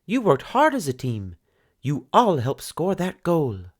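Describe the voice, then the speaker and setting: A man's voice: wildly swooping pitch, a little slow, exaggerated voice. A man voicing a cheerful, encouraging female coach in a children's storybook, with a raised voice. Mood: proud. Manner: encouraging, lifted pitch.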